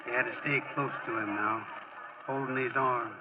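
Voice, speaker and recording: Wistful American radio narrator played by an actor, muffled. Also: male voice, mid-range voice, steady tone, moderate pace, very noisy, natural voice. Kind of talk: 1940s radio drama narration. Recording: muffled old radio recording.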